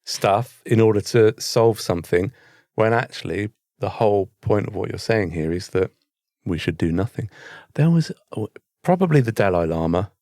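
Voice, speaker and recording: A man's voice: fairly low pitch, expressive, normal speed, a little mellow, no echo, studio quality. A man with a British accent, talking in a wry, conversational way as a co-host on a casual podcast. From Britain.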